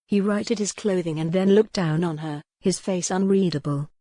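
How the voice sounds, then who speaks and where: A woman's voice: on the low side, normal speed, mellow, no room sound, studio quality. A woman reading scripted, dramatic historical romance narration as an audiobook narrator.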